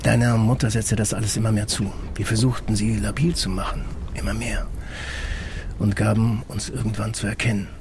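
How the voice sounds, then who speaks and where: An actor playing a guarded middle-aged investigator in an audio drama, explaining things in a terse, matter-of-fact way. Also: male voice, lowish voice, flat delivery, normal speed, noisy background, natural voice. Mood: measured, guarded. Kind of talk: German audio drama dialogue.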